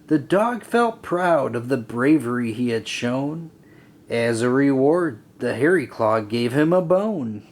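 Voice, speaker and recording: A man reading a rhyming children's story aloud in an expressive, deliberate, sing-song way. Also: mid-range voice. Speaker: storybook reader. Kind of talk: children's read-aloud narration.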